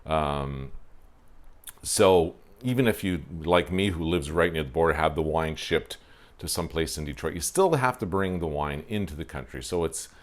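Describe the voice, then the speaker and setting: A man's voice: very deep voice, moderate pace. A man, hosting a wine show in a casual, self-deprecating way and talking to camera on a porch through a lapel mic. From Ontario, Canada. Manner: chatty, self-deprecating, frequent fillers. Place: covered porch, outdoors.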